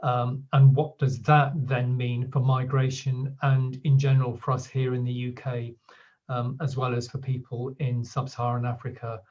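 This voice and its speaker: Halting male host on dull lo-fi audio. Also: medium pitch, little pitch movement, a little mellow. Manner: loose, halting, with many fillers. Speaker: event host and moderator. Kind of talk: hosting an online talk.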